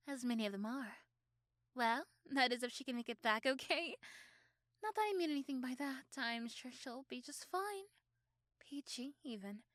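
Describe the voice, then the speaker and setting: A woman voicing a possessive, protective teenage girlfriend in an ASMR roleplay, doting and intense, with dramatic pauses and repeated words. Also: high-pitched, a bit bright, no room sound, clean studio sound. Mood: smug, sly cheer. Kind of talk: ASMR roleplay acting addressed to the listener.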